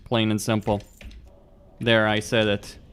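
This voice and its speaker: Blunt, bright-voiced man chatting casually. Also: on the low side, level tone, a little slow, smooth. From Romania. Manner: blunt, laughs often, swears freely. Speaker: gaming streamer. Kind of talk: casual live-stream chat, answering viewers.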